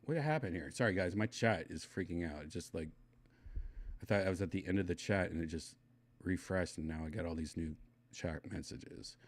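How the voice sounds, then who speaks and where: Low-voiced mumbly man with slight lisp. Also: a little fast, dry recording. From Wisconsin. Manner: loose, mumbling, trails off into quiet asides. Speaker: livestream host reading chat. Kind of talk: casual rambling chat.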